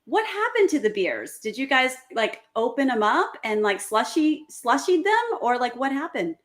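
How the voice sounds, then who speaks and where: A woman's voice: sits high, sing-song, moderate pace. A woman hosting a casual podcast, upbeat and steering the conversation with questions.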